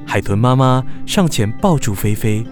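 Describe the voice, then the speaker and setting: A man narrating a children's radio drama in measured, expressive storytelling. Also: medium pitch, lightly acted. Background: background music.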